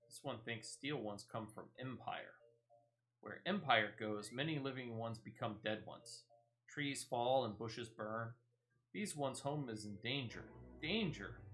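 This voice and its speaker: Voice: mid-range voice, fairly bright tone, lightly acted. A gamer voicing a timid, wary little fantasy creature. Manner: halting third-person speech, repeating words. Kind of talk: reading game dialogue in character.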